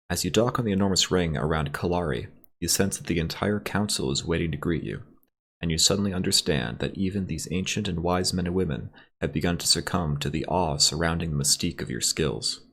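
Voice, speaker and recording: A man hosting a let's play, chatting casually and reading the game's story text aloud, his voice a little raspy from congestion. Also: sits low. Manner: relaxed, thinking aloud while shopping for upgrades.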